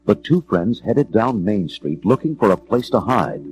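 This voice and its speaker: Storybook narrator, male: very low gravelly register, animated and theatrical, music underneath. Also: moderate pace, low-quality recording. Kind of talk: dramatic children's storybook narration.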